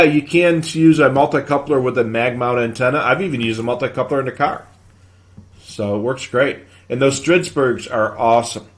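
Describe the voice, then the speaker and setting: A man with a mid-pitched voice hosts a casual live hobby show. He explains technical points in a conversational, halting way, as if working through questions from viewers.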